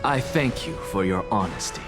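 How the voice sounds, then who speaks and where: A man's voice: low voice, very animated, moderate pace, music in the background. An actor playing an arrogant, sardonic male scholar who speaks in a cool, condescending, precise way. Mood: measured. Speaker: scholar and sage casting a vote. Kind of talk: video game voice acting.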